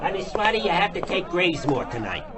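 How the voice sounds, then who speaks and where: An actor playing an impatient, bossy taxi dispatcher in a radio drama, brusque and insistent. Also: male voice, high-pitched, sing-song, quick pace. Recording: busy city crowd noise behind.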